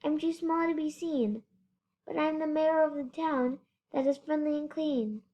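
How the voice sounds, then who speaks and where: A woman's voice: sits high, unhurried, mellow, lo-fi sound, pitched above the performer's own voice. A female reader voicing a tiny, polite and grateful storybook mayor with a piping, courteous manner. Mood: proud, friendly.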